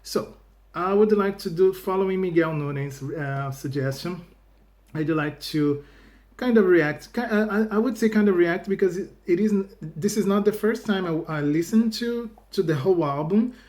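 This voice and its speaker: A man's voice: sits high, normal speed, darkish tone. A Brazilian man whose first language is Portuguese, hosting a casual reaction video in English with a Brazilian accent. He often restarts phrases and fills gaps with "you know.". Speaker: YouTube reaction host. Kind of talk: casual video chat to viewers.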